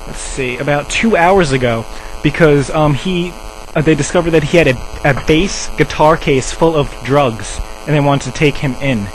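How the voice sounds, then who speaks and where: A man's voice: normal speed, lightly acted. Man posing as an officious clinic staffer, noisy phone call. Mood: matter-of-fact. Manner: rambling, insistent, officious. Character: officious, defensive male drug treatment center staffer. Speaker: prank caller. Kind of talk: improvised prank phone call. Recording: noisy low-quality cell phone call, hum.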